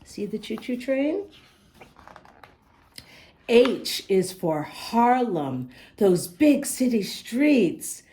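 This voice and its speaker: A woman reading a picture book aloud to young children as a storytime host, expressive and often speaking to them directly with questions. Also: a little high, extremely slow, long pauses.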